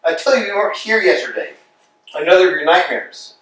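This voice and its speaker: An actor as weary grumbling tramp Estragon. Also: male voice, sits high, lively intonation, fairly quick, a bit bright. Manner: tired, dry and grumbling. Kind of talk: staged play reading. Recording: echoey room from far off.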